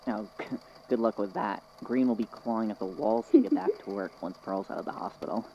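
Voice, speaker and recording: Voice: mid-range voice, sing-song, fairly quick, warm tone, highs cut off, a little hiss or hum. A performer playing a friendly, easygoing coworker in a scripted audio drama, talking casually. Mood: friendly, amused. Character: easygoing, friendly institute coworker bringing news.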